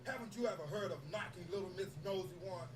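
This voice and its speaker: A man's voice: high-pitched, quick pace, reverberant, distant mic, crowd noise, lightly acted. A man playing a drunk, abusive husband in a stage drama, menacing and domineering. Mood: threatening. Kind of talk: live dramatic performance.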